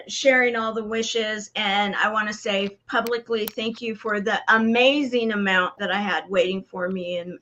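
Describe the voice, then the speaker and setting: A woman's voice: mid-range voice, sharp tone, highs cut off. A woman hosting a live show, effusively thanking her audience for birthday wishes. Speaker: host.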